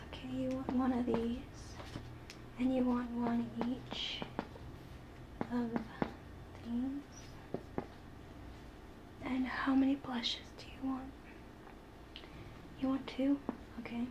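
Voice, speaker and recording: Young woman, breathy ASMR whisper, slow and attentive consultant roleplay, noisy home recording. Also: high voice, lo-fi sound. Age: young adult. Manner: soft, unhurried, attentive customer-service talk. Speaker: ASMR creator playing a makeup consultant. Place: small furnished living room at home. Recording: noticeable background hiss and room noise.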